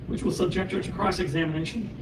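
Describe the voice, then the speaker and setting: A man arguing formally before a judge, voice going hoarse, speaking in measured phrases with many hesitations and throat clearing. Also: high voice, heavy background noise. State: losing his voice. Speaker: special prosecutor arguing in court. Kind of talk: formal courtroom argument. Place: courtroom. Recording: roomy courtroom audio from a distant mic.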